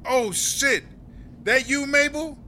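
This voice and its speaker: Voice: sits high, slow, bright, forceful tone, a little hiss or hum, full character voice. An actor playing a brash, streetwise female prison inmate with slangy, emphatic talk in a comedy audio drama. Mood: brash, bargaining.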